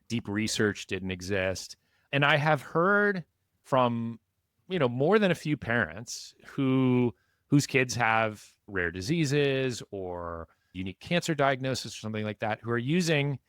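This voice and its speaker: A man's voice: medium pitch, a bit bright, dry recording, studio quality. A male podcast host, curious and affirming, asking long conversational questions. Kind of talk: conversational interviewing.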